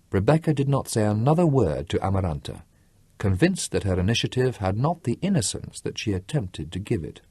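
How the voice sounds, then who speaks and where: Male audiobook narrator: deep register, very varied intonation, scripted literary fiction. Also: dark tone, breathy edge, dry recording.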